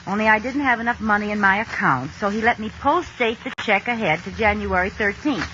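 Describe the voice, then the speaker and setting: An actress playing a scheming, wheedling American housewife in a theatrical 1950s radio comedy style. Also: female voice, mid-range voice, animated, brisk, bright, forceful tone. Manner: exaggerated, theatrical. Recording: muffled, noisy old radio recording.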